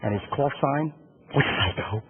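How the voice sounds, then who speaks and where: A man from Alabama with a Southern accent giving an animated keynote speech, questioning the audience and calling on listeners by name. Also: medium pitch, measured pace, a little hiss or hum. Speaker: motivational keynote speaker. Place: conference room with a live audience. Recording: tinny phone-like sound.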